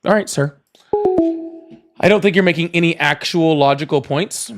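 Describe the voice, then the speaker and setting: A man with a slightly high voice hosts a live call-in debate show. He chats casually, reads out viewer messages and turns dryly sarcastic and dismissive when he deals with callers. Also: normal speed, soft background music. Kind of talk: casual political debate and reading out super chats.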